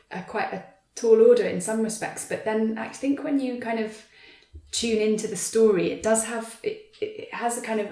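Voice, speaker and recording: A woman talking thoughtfully in an interview as a writer, choosing her words carefully and often pausing to rephrase. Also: medium pitch, dark tone. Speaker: writer adapting an audio drama for stage. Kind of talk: thoughtful conversational interview talk. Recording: roomy, echoey sound.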